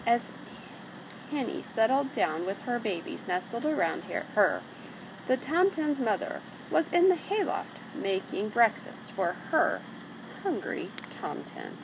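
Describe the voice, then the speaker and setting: A woman reading a children's story aloud in an expressive narrating style. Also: fairly high pitch, a little slow. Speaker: storybook reader. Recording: clearly noisy background.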